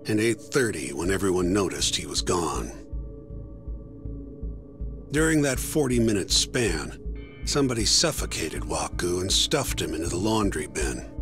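An actor playing a jaded, exasperated middle-aged lawyer in a video game, blunt and world-weary in manner. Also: male voice, sits low. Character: jaded, exasperated older male defense lawyer. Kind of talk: video game cutscene acting. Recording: echoey hall reverb, music behind.

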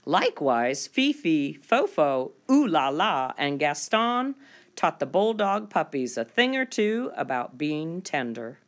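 Low-pitched woman reading with lively swoops. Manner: expressive, deliberate, drawing out key words for young listeners. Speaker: storyteller reading a picture book aloud. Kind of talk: children's picture-book read-aloud.

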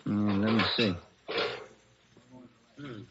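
An actor playing an easygoing, plainspoken detective partner in an old radio police drama, with a laconic, conversational delivery. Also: male voice, extremely slow. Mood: low-key, dry. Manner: laconic, low-key, conversational. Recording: muffled, very noisy old radio broadcast.

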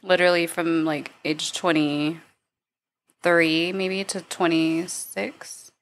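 Low-voiced woman in her thirties. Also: little pitch movement, slow. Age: 30s. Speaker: guest. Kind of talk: casual chat.

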